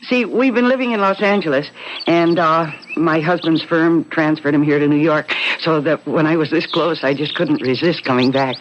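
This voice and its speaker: Actress doing young american suburban wife, 70s radio play, nostalgic n apologetic, muffled old broadcast sound. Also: female voice, sits low, moderate pace, a little hiss or hum, natural voice. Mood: hesitant, polite. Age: young adult. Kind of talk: 1970s radio drama acting. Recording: dull, band-limited old radio broadcast.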